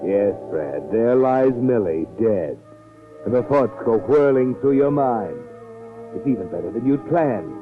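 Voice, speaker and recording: An actor playing a sardonic, ominous male narrator of a 1940s radio mystery, deliberate and knowing. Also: mid-range voice, animated, close to an everyday voice. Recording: tinny old radio recording with music.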